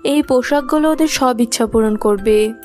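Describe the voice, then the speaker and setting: A woman voicing a kind, graceful fairy queen of the forest in an animated fairy tale, speaking graciously. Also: fairly high pitch, normal speed, over loud music, close to an everyday voice. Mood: grateful, gracious.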